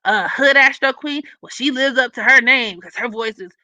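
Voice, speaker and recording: Raspy, bright-toned woman on a live stream. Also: sits high, normal speed, dry recording. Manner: blunt, profane and opinionated, laughs often. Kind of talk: casual live stream chat reading comments.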